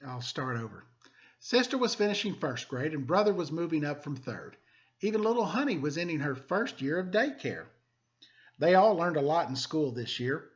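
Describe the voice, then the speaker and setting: A man's voice: on the high side, lo-fi sound. A man hosting story time, reading a picture book aloud to children with playful, self-deprecating, chatty asides.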